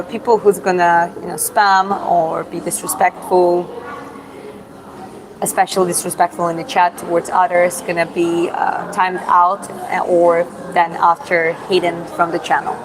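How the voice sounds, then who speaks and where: A woman from Georgia, hosting a casual livestream Q&A and answering chat in an unhurried, thoughtful way with many fillers and restarts. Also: on the low side, noisy background. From Georgia (country). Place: public spot in Da Nang with other people around.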